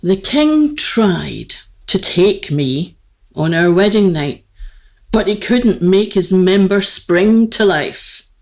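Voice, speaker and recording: A woman plays a young, neglected queen in a courtly radio drama. She speaks very deliberately at a middling pitch, gracious and candid, with poised, formal phrasing. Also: lively intonation, a little mellow. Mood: confiding, resigned. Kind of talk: theatrical radio play dialogue, courtly.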